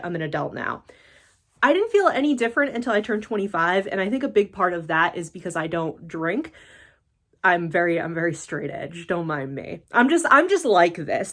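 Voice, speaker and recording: A woman's voice: fairly low pitch, fairly bright tone. A woman about to turn thirty, from the United States, chatting in a rambling casual vlog with lots of fillers and self-deprecating laughs. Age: late 20s. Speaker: YouTuber.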